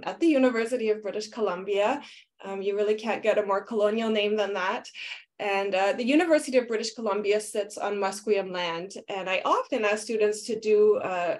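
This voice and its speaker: A woman film scholar giving a conference paper over a video call, speaking in a measured way with frequent ums and uhs. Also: medium pitch. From Canada. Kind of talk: academic conference talk.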